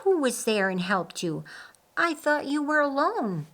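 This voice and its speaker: A woman's voice: high voice, very animated, unhurried, fairly bright tone, voice pushed up, full character voice. Worried, protective male friend for an audiobook female reader playing him, urgent and questioning. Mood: worried, demanding. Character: concerned, questioning young man, protective friend.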